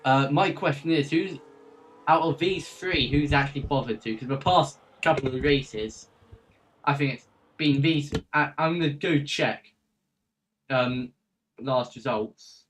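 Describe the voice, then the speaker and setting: A man's voice: mid-range voice, unhurried, fairly bright tone. A man co-commentating a sim race, joking and laughing through casual banter. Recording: distant mic with faint noise.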